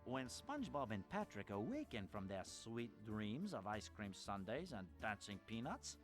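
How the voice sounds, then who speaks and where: A pompous cartoon storyteller narrating like a melodramatic storybook. His pitch rises and falls theatrically over a music bed. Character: grandiose, theatrical male storyteller, fussily dramatic. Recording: music behind the voice.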